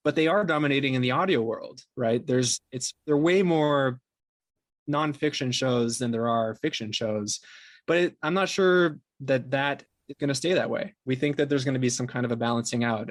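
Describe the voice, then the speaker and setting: A man's voice: mid-range voice, dry recording. Man interviewed, dry close sound. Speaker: interview guest, podcast creator and company co-founder. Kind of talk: conversational interview answers.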